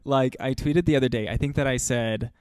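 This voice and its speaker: A man's voice: medium pitch, brisk, darkish tone, clear, resonant tone, no room sound, studio quality. A man co-hosting a casual podcast, chatting in an upbeat, easygoing way with plenty of "um" and "like". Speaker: podcast co-host. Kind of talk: casual chat.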